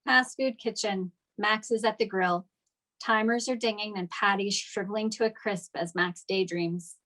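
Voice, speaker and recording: Female narrator for a script read, medium pitch, delivering scene directions. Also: fairly even intonation, moderate pace, a bit bright, rough recording. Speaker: stage direction reader at a table read.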